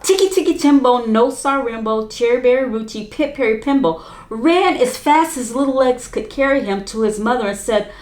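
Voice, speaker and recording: A woman's voice: a little high, moderate pace, a little mellow, echoey room. Woman reading a children's story aloud. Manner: chatty asides to young listeners.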